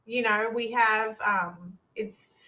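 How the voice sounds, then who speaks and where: A middle-aged woman co-hosts a relaxed podcast with a bright, forceful voice. She explains practical matters plainly and drops in quick agreement, recorded from a little back off the mic. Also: a little high, far from the mic, poor audio. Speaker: podcast co-host. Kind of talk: casual live podcast chat.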